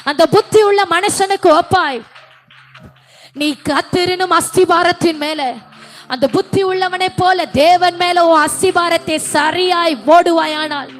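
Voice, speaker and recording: Fervent high-voiced woman prophesying. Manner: fervent, repeats each phrase. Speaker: prophetic minister. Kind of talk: prophetic prayer with speaking in tongues.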